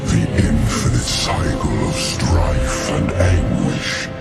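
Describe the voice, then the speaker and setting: A man voicing a menacing, merciless ancient dark god in a sci-fi game, slow and grandiose with distorted monster processing. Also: a bass voice, full character voice. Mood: ominous, gloating. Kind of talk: scripted sci-fi game villain speech. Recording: loud music behind.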